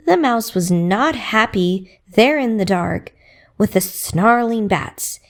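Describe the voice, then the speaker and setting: A woman narrating a children's bedtime story in a rhythmic, expressive way with dramatic emphasis. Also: mid-range voice.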